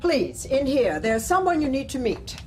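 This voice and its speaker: Audio drama doctor, brisk, formal, urgent, with lively intonation. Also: female voice, sits high, faint music behind. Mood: purposeful. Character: formal, brisk woman doctor with consulting room.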